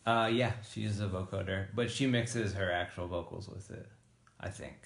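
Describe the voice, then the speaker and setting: A man with a low voice that creaks into fry talks through a music software demonstration. He is informal and thinks out loud as he works. The room echoes around him. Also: steady tone, moderate pace. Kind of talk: casual hands-on software tutorial.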